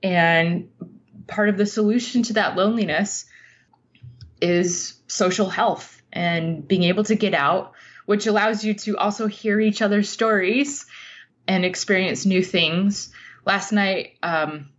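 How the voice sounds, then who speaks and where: A middle-aged woman speaking earnestly and thoughtfully as an interview guest, telling stories in halting phrases. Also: medium pitch, highs cut off. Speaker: podcast guest, writer. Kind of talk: reflective interview conversation, storytelling.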